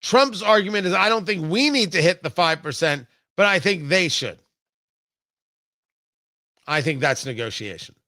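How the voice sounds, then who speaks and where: An American male talk show host with a high-pitched voice and a forceful, bright tone. He delivers opinionated commentary emphatically, hammering points home through repetition. The sound is dry and close. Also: lively intonation, dry recording. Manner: emphatic, deliberate repetition for effect. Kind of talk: opinionated political commentary monologue.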